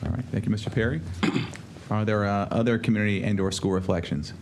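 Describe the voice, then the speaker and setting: A man chairs a school board meeting in a low, gravelly voice. He speaks haltingly and corrects himself, keeping a good-humored tone. Clear background noise runs under him. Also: fairly even intonation, darkish tone.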